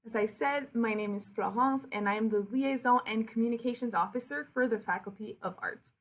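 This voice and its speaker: A woman's voice: fairly high pitch, fairly quick. A woman in her twenties with a French Canadian accent, giving a friendly, conversational presentation for a virtual open house in her second language. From Quebec City, Canada. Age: 20s. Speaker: university liaison officer, presenter.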